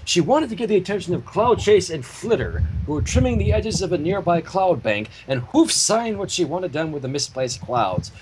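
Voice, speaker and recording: A man's voice: high-pitched, sing-song, normal speed, few pauses. A man reading fan fiction as a narrator with casual asides, on a slightly noisy home recording.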